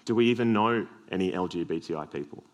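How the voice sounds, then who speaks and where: Australian male preacher, deep low voice, earnest sermon delivery lightened by self-deprecating jokes. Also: quick pace.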